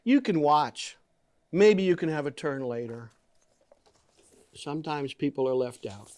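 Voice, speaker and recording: A man reading a children's picture book aloud in a gentle, deliberate storytelling manner, leaning on key words. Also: fairly high pitch. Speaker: guest story reader.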